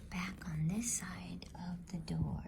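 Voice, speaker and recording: A woman whispering softly and breathily in a gentle, unhurried ASMR bedtime style. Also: on the low side, fairly even intonation, gravelly, background noise. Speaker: host and storybook reader.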